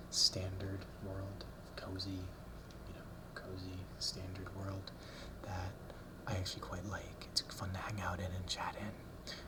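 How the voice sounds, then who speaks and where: A man whispering a rambling ASMR talk close to the mic, softly repeating words as triggers, with ums and small nervous laughs. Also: low-pitched. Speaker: ASMR creator. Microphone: close ASMR mic, brushed.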